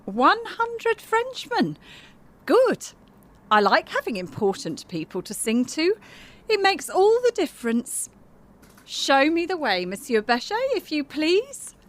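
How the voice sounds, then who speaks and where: Actress as a bold, high-voiced French chanteuse. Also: female voice, big pitch swings, slow, a bit bright, slight background noise, heavily acted. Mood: poised, probing. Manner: coquettish, self-assured, theatrical. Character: bold, charming, flirtatious French woman cabaret singer. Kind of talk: audio drama acting.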